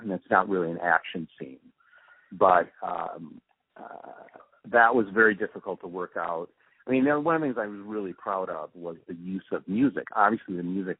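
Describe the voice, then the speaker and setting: A man being interviewed, giving reflective, halting answers as he thinks aloud through technical explanations. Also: low-pitched, breathy edge, no room sound. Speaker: interviewee, radio drama post-production supervisor. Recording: muffled narrow phone-like line.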